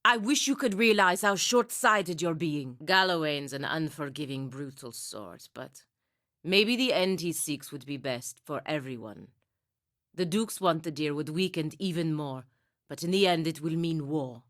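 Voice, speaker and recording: A lower-pitched female voice, close and dry, carries a proud knight of a fantasy game. She is stern and formal, bound by duty, with an intense and bitter edge to her delivery. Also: animated, unhurried, fairly bright tone, no room sound. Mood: grave, measured. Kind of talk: video game character acting.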